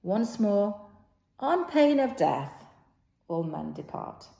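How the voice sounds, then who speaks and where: In an echoey room, a stern prince read by a woman issues commands as in a stage play. The delivery is slow, declamatory and a little halting. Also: medium pitch, very animated, creaky, dark tone, full character voice. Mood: stern, commanding. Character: stern, commanding prince rebuking brawling citizens.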